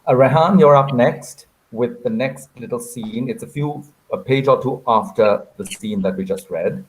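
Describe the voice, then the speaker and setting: A man's voice: medium pitch, normal speed, a little hiss or hum, rough recording. A man moderating a literary conversation, courteous, often repeating words as he forms his questions. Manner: courteous, hesitant repetitions. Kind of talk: conversational interviewing.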